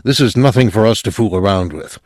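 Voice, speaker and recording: A male narrator plays a cautious, pragmatic boss in an audiobook story. His voice is lowish and firm, managerial in manner, with a dry, close sound. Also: expressive, a little fast, darkish tone, dry recording, close to an everyday voice. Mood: wary, resigned.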